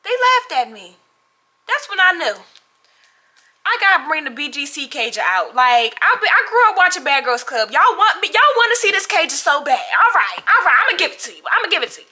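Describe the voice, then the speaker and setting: A young adult woman telling a casual, animated story to viewers in a dramatic, slangy way, acting out dialogue as she goes. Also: very high-pitched, a little fast. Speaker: storytime vlogger.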